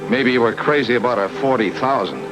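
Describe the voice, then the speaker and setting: A man's voice: medium pitch, lively intonation, brisk, natural voice, low-quality recording. An actor playing a hard-boiled, sardonic private detective in an old film noir radio drama, with clipped, slangy tough-guy delivery. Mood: bitter, accusing. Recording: old radio drama with loud music behind.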